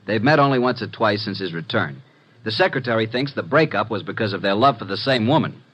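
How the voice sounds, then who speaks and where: An actor playing a breezy, wisecracking young assistant to a detective in a 1950s radio drama. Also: male voice, on the low side, expressive, brisk, fairly bright tone, breathy edge.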